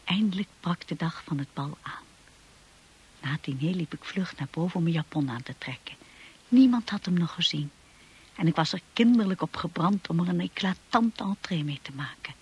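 A breathy, earnest young wife. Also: female voice, lowish voice, animated, normal speed, darkish tone, poor audio. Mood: wistful narration. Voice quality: husky, breathy. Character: timid young wife narrating her own story, eager to please. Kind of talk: radio drama acting and first-person narration.

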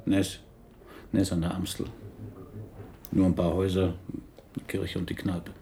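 An actor playing a wry, nonchalant man recounting things in an ironic, unhurried way in a radio crime drama. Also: low-pitched, little pitch movement, dark tone, a little hiss or hum. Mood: reflective, nonchalant. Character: wry, nonchalant man, a slightly wounded shooting victim.

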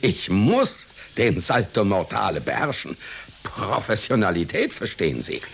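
An actor playing a proud, scatterbrained elderly circus clown in a radio satire, speaking pedantically and formally. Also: male voice, fairly low pitch, huge pitch swings, a little slow, gravelly, fairly bright tone, slight background noise, full character voice. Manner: pedantic, formal, easily flustered and stammering. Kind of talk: satirical German radio play acting.

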